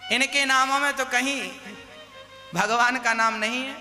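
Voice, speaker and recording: Man, preacher, slow deliberate sermon, soft violin underneath. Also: very high-pitched, steady tone, big-room sound, faint music behind. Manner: rhetorical questions, scolding and exhorting listeners. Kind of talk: devotional sermon.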